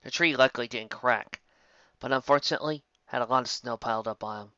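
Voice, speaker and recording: Bright-voiced reader of a pony story. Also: mid-range voice, quick pace, dry recording, highs cut off. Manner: plain, steady reading with small stumbles. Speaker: fan fiction reader. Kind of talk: first-person story reading.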